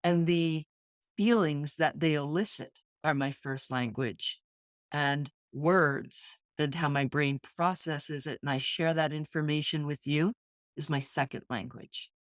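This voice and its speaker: Deep-voiced woman speaking earnestly. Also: measured pace, no echo, studio quality. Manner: earnest, reflective storytelling. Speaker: podcast co-host. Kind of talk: casual reflective conversation.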